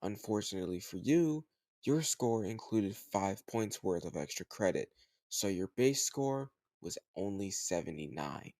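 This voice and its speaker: A man playing a teasing, slightly older home tutor who gently chides the listener in a conversational, intimate roleplay audio drama. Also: lowish voice, animated, a little slow, no room sound. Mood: playful, sly.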